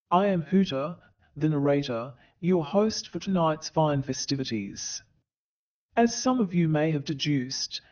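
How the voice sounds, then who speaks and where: A man playing a theatrical owl narrator who hosts a comic stage play with grandiloquent, wry, deliberate delivery. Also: on the high side, a little mellow, lo-fi sound. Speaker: narrator and host.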